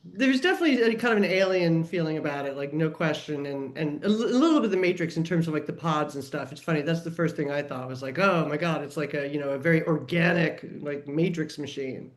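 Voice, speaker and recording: A man with a notably high voice talks on a panel show. His delivery is conversational and emphatic, like someone sharing opinions among fellow fans. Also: quick pace. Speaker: podcast panelist. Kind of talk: casual panel discussion.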